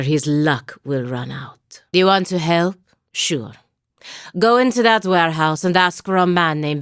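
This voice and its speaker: Low-voiced, proud, sardonic lady paladin. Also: no echo. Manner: dry, sardonic, commanding. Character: stern, proud avian godlike woman paladin, dutiful and sardonic. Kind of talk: video game character dialogue.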